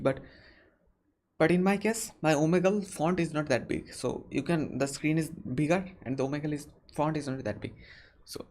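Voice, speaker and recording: A man in his early twenties from West Bengal with a Bengali Indian accent, answering viewer questions casually and deliberately, often repeating his phrases. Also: a little high, darkish tone. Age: early 20s. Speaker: YouTuber hosting a Q&A live stream.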